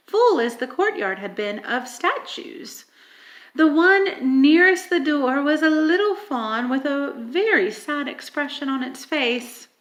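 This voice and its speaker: A woman reading a children's story aloud as expressive narration. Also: sits high. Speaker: audiobook reader.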